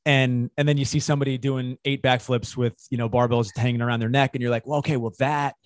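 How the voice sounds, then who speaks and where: A man's voice: medium pitch, little pitch movement, quick pace, dry recording, studio quality. A man hosting a podcast, asking questions in a casual, rambling, conversational way. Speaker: podcast host. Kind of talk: casual interview chat.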